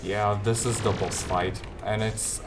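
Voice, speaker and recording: A man giving an off-the-cuff video game walkthrough in a low-key, hesitant way, often trailing off. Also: fairly even intonation, unhurried, over music. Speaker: gamer giving a guide.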